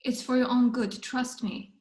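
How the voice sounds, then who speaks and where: A woman's voice: on the high side, steady tone, unhurried, a little mellow, natural voice. Protective grandmother played by a woman, echoey room. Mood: pleading. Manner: scolding, pleading. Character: protective, stern, worried grandmother. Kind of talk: amateur script table read.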